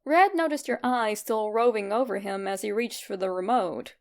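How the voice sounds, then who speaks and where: A woman reading fan fiction aloud as narrator, in an expressive scripted storytelling style. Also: mid-range voice, normal speed, creaky.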